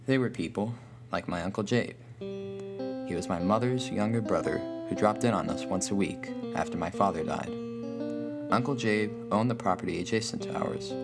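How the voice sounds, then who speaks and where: An actor playing a solemn, bookish loner recalling his boyhood, narrating a scripted horror story with an American accent and grave, measured phrasing. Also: sits low, vocal fry. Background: guitar music.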